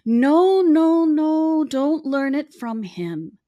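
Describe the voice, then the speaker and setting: A woman imitating a bossy female friend who insists on correcting her, in a high, emphatic voice. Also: very animated, extremely slow, dark tone, lo-fi sound, heavily acted. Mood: scolding.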